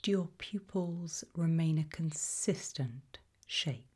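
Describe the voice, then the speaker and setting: A woman performs an ASMR roleplay in a very breathy, soft whisper. Her voice sits low, and she speaks politely and formally, like a tailor consulting a client with careful, measured questions.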